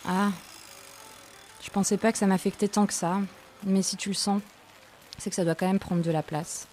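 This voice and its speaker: A woman's voice: mid-range voice, fairly even intonation, moderate pace, darkish tone, slight background noise, close to an everyday voice. A woman playing a proud, overworked mechanic in an audio drama, colloquial and aggrieved. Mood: troubled. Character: proud, overworked, touchy female workshop mechanic. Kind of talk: French audio drama dialogue.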